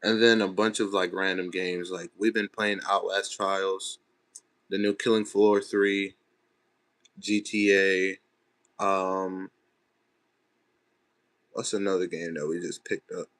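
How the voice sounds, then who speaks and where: A young man with a fairly low voice talks to his viewers in a relaxed, chatty way. He is from Texas and speaks in loose, slangy phrases as he answers comments live from his room at home. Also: slow, long pauses. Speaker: livestreamer. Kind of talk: casual livestream chat reading comments. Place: bedroom at home.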